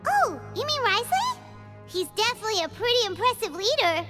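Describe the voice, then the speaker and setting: Little squeaky game fairy, super high n bouncy, childlike, excitable, music playing behind. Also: female voice, fairly quick. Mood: chatty. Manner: speaks of herself in third person, exclamatory. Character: tiny childlike female fairy companion, chirpy, excitable, talkative. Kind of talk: animated video game voice acting.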